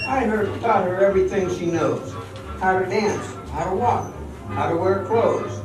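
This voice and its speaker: A man's voice: high voice, a little slow. An amateur actor playing a bitter, stubborn, proud male dancer who has been jilted and is drinking, in a radio drama. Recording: very echoey hall, distant mic, music behind.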